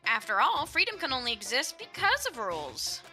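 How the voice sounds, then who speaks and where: Very bright, high-voiced animated woman. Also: normal speed, soft background music. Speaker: player reading a game aloud. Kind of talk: casual gaming chat and animated read-aloud.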